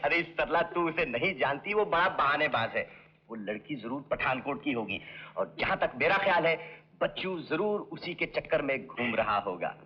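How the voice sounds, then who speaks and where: A man's voice: fairly high pitch, animated, normal speed, natural voice. A man playing a breezy, teasing young elder brother in an old film. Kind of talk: old Hindi film drama acting. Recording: dull lo-fi old film soundtrack.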